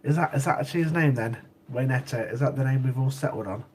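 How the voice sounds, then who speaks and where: A chatty man, a bit sniffly from a cold, talks casually to his livestream viewers at a middling pitch. He loops back over his words and laughs often. A faint hiss sits under the recording. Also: quick pace, a little mellow. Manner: chatty, repeats himself, laughs easily. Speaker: livestream host. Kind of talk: casual chat with live chat viewers.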